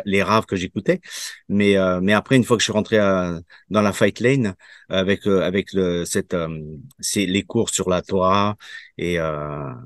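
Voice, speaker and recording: A man in his fifties, from Portugal, giving a testimonial in an interview and answering haltingly with many fillers and restarts. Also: sits low, no echo, clean studio sound. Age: 50s. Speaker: program participant giving a testimonial.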